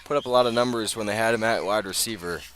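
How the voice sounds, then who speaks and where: Male American radio football announcer, lower register, measured delivery that turns emphatic for key plays. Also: fairly bright tone, a little hiss or hum, rough recording. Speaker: play-by-play announcer. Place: outdoors at a high school football game.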